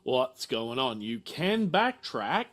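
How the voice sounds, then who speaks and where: A man's voice: medium pitch, sing-song, slow pace, fairly bright tone. A man, informally explaining and narrating a tabletop game as game master.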